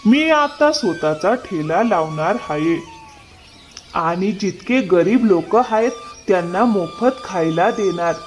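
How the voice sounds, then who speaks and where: Man playing poor kindly cartoon labourer, playful, animated intonation, slow delivery. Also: sits high, faint music behind. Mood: hopeful. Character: poor, good-hearted, playful working man. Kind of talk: cartoon story dialogue.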